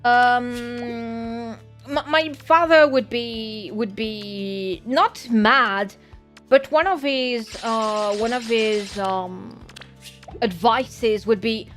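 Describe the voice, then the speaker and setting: A French-accented woman, English being her second language, talks through her thoughts on a casual gaming stream. Her voice sits a little high, and she takes her time, wandering off and restarting her sentences as she reflects. A soft bed of game music plays underneath. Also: drawn-out words. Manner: musing, rambling, self-correcting. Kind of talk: casual gaming livestream chat.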